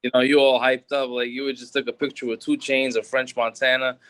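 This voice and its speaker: A man's voice: mid-range voice, fairly quick, no room sound. Man, 30s, new york accent, laid-back rambling talk, dry close sound. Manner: relaxed street talk with many fillers and restarts. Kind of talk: casual interview.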